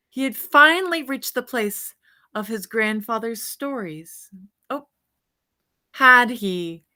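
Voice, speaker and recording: Female read-aloud storyteller, mid-range pitch, unhurried pace, teacherly asides to young listeners. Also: pushed and bright. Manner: unhurried, drawn-out words, points things out to young listeners. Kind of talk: picture book read-aloud with asides on the pictures.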